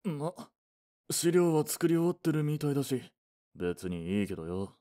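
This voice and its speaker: A man playing a casual, gruff but caring senior coworker in a voice drama, talking bluntly and informally. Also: fairly high pitch, very animated, moderate pace, mellow. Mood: teasing, concerned. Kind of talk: voice drama dialogue.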